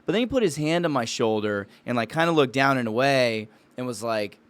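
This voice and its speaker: A man's voice: mid-range voice, animated, brisk, smooth. A man co-hosting a podcast, talking casually and informally in a bro-ish storytelling way. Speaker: podcast co-host and business owner. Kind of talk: casual conversational podcast talk.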